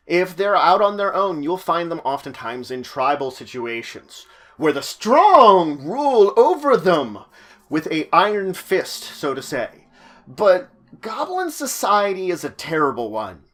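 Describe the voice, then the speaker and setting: Higher-pitched man, theatrical explainer. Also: a bit bright. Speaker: host of tabletop roleplaying guide videos. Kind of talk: conversational explainer narration.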